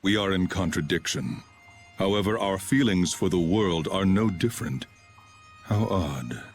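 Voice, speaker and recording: Very deep, solemn swordsman. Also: faint music behind. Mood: resolute, somber. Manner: solemn, formal, measured speech. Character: grave, aloof male swordsman, bitter about humanity. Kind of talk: dramatic video game voice acting.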